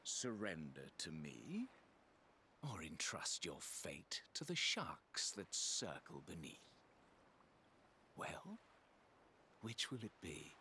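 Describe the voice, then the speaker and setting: Casting: arrogant knight villain for a video game, slow, theatrical, sharp-edged delivery, cold scorn, pompous menace. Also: male voice, low voice, huge pitch swings, a little hiss or hum, heavily acted. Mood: gloating menace.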